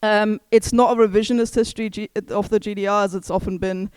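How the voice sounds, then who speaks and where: Measured woman historian, smooth voice. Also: medium pitch, steady tone, darkish tone, no room sound. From East Germany. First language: German. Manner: thoughtful, hedging with many fillers and restarts. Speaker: historian and author. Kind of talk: book launch talk.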